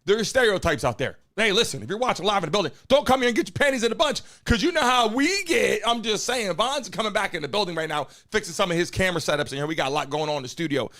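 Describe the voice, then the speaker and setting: A man hosting a live stream from his studio, talking loudly and bluntly and hyping up his chat. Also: high-pitched, animated, fast talker, hardly any pauses. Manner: hype-man shouting at the chat. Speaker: live-stream show host. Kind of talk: loud, brash live-stream commentary. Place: home studio in Miami.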